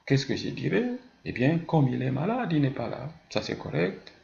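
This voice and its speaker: A male teacher gives a grammar lesson in a mid-pitched, gravelly voice. He explains didactically, sets out examples and answers his own questions. The sound is roomy and echoing. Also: sing-song, normal speed, darkish tone, muffled recording. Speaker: French teacher.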